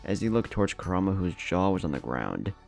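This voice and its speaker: A man's voice: deep voice, big pitch swings, a little fast, gravelly, darkish tone, soft background music. A man narrating a scripted story in a dramatic storytelling manner.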